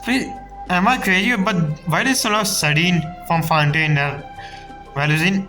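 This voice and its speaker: A man's voice: high-pitched, moderate pace, bright, forceful tone, faint music behind. A man streaming a video game with casual commentary, thinking aloud in a puzzled way.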